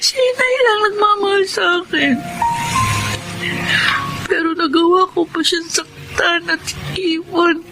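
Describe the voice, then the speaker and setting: A woman's voice: very high voice, slow pace, pauses often, heavily acted. A young woman with a Filipino accent acting a poor, hurt daughter who longs for her father's love in a radio drama. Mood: tearful, anguished. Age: young adult. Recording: dramatic music behind voice.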